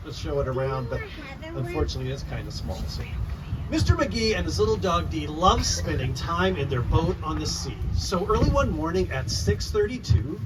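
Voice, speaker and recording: A man reading a rhyming picture book aloud to young listeners in an expressive, animated storytelling style. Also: fairly high pitch. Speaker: children's author reading aloud. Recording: noisy, distant mic, live room ambience.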